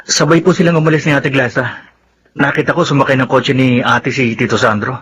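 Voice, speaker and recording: An actor playing a respectful, inquisitive young adult son in a Filipino radio drama, polite and probing. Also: male voice, mid-range voice, fairly even intonation, a little fast, fairly bright tone, slight background noise, natural voice. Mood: careful, evasive.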